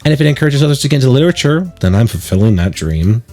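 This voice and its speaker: A man's voice: medium pitch, very animated, racing, dark tone, faint music behind, natural voice. A man voicing a confident, earnest schoolgirl club president from a visual novel, speaking encouragingly. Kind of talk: reading visual novel dialogue.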